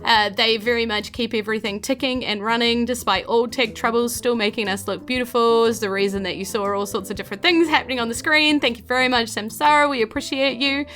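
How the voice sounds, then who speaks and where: Bright-voiced New Zealand woman, giggly. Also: on the high side, steady tone, a little fast, few pauses. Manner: chatty and giggly, with many fillers. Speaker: game master. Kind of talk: podcast outro and supporter thanks.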